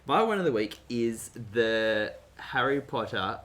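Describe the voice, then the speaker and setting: A man co-hosting a podcast in casual, conversational style. His pitch is medium, and other voices can be heard clearly behind him. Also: lively intonation, a little slow, a crowd in the background. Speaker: podcast co-host. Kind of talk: casual chat.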